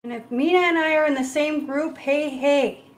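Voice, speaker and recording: High-voiced Minnesota woman, playful. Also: moderate pace. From United States, Minnesota. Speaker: host, painter. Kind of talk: casual live art demonstration chat. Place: indoor art studio at a paint-covered worktable.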